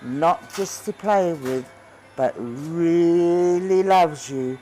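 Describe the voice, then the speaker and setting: A woman voicing a wise, kindly old toy horse in a storybook, explaining things patiently and gently. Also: on the low side, drawn-out words, faint music behind, low-quality recording. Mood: gentle, earnest.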